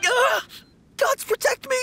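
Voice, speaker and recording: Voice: very high-pitched, takes it slowly, creaky, very noisy, full character voice. An actor playing a timid, superstitious young male novice undertaker in a video game, nervous, pleading and formally polite. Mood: terrified. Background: faint game music.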